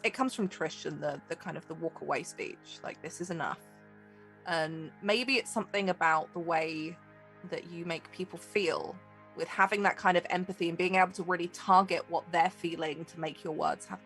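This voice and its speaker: A woman's voice: on the low side, normal speed, a bit bright, soft background music. A woman running a tabletop game as game master, improvising narration in loose, meandering phrases.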